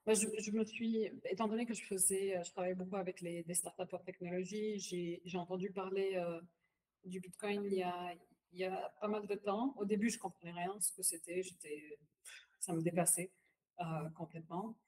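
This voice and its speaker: A woman from Palestine whose first language is Arabic, talking as a podcast guest in a halting, filler-heavy way and slipping English phrases into her speech. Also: lowish voice, steady tone, warm tone, rough recording. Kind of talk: casual interview chat.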